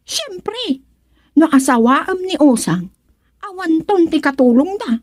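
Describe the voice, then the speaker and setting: Cheeky Ilocano matchmaker, played by a woman: high-pitched, unhurried, teasing exclamations, radio comedy. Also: sing-song, darkish tone, no echo, clean studio sound, full character voice. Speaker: actor in radio comedy drama. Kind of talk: Filipino radio drama comedy acting.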